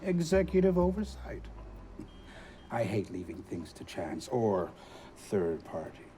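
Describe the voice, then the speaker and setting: A man's voice: lowish voice, big pitch swings, a little slow, mellow, a little hiss or hum. A man playing a smug, defiant conspiracy villain in a video game, with a sardonic, condescending manner. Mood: guarded, haughty. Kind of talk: video game cutscene acting.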